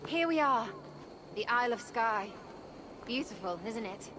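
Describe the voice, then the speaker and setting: High-voiced, earnest village woman. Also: expressive, unhurried, noisy background. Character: earnest, chatty village woman seeking help for her people. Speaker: game character. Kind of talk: video game cutscene acting.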